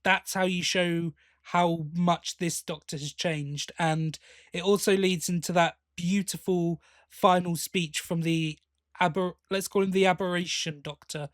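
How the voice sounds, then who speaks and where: A man with a somewhat high voice talks casually as a podcast co-host. He sounds conversational, like a fan discussing a favourite series, and the recording is dry with little room sound. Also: fairly even intonation, measured pace, no echo.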